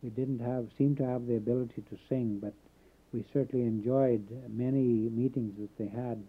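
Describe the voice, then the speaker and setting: Halting older-style Canadian man on old tape. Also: medium pitch, steady tone, slightly rough. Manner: halting, many filler pauses. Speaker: interviewee. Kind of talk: oral history interview. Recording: tinny phone-like old tape.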